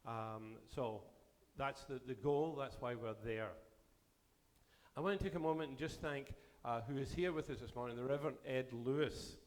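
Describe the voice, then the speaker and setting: Bishop addressing a synod, voice going. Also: male voice, mid-range voice, moderate pace, a little mellow. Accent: Canadian. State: losing his voice. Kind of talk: formal address to a church assembly. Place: church assembly hall.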